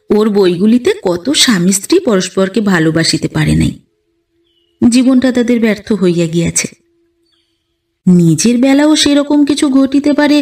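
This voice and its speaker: High-voiced woman narrating a literary story. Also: a little mellow, distant mic. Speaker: audiobook narrator. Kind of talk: literary story narration in formal Bengali prose.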